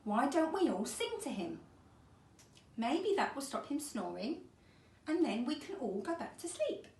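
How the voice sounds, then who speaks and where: A woman voicing a sleepy farm cat who is fed up with the snoring, in a hurried, pleading way. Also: high-pitched, very animated, a little mellow, a little hiss or hum, full character voice. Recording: roomy, distant mic.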